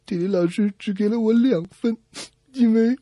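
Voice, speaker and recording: Man putting on a high-pitched sobbing schoolgirl. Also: normal speed, mellow, dry recording. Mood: tearful, wronged. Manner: tearful whining that breaks into whispery squeaks. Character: sobbing schoolgirl, wronged older sister.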